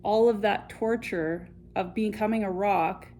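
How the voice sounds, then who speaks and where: A woman hosting a children's story time, reading and chatting in an expressive, conversational way straight to young viewers. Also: medium pitch, measured pace, a little hiss or hum. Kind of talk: picture-book read-aloud with chatty asides.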